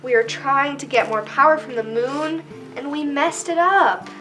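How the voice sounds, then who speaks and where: Bright, high-pitched earnest fairy played by a woman. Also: measured pace, faint music behind, low-quality recording. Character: earnest, excitable crystal flower fairy. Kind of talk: amateur children's readers' theater acting.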